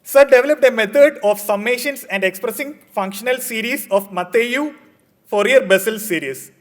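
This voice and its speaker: A man reading a formal speaker introduction as conference emcee, measured and scripted. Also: extremely high pitch. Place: conference hall.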